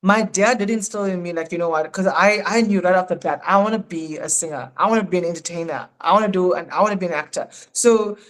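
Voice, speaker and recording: A South African man in his thirties, a podcast guest in casual, animated chat who laughs often. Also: high-pitched, fast talker, few pauses. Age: 30s.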